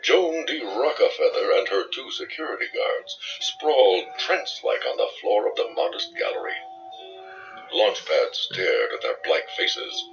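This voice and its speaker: A man's voice: on the low side, very animated, a little slow, fairly bright tone, far from the mic, muffled recording, faint music behind. A man reading a scripted, dramatic children's story as its narrator.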